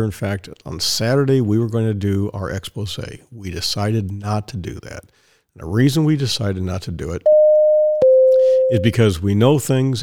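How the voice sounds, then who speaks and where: A man with a fairly low, hoarse, raspy voice holds forth on his own podcast. He talks in a rambling, digressive monologue, circling back and repeating himself as he goes. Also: mellow. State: hoarse from strained vocal cords. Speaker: podcast host.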